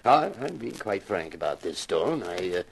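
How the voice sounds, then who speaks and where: A man's voice: low voice, big pitch swings, normal speed, gravelly, slightly rough, heavily acted, poor audio. A man acting as a pompous, wealthy sponsor in a 1950s radio drama, formal in speech and given to hesitant uhs. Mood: discreet, embarrassed. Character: pompous, wealthy male store owner and show sponsor.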